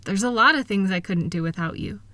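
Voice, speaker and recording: A woman playing a loving, reassuring wife in an intimate comfort roleplay, speaking in a gentle, soothing, affectionate way. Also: medium pitch, lively intonation, a little fast, no echo. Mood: playful, fond. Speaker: audio roleplay voice performer.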